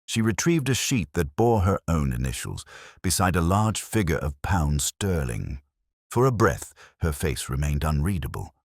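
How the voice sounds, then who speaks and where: A man narrating a scripted mystery story in a measured, formal way. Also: low-pitched, dry recording, studio quality.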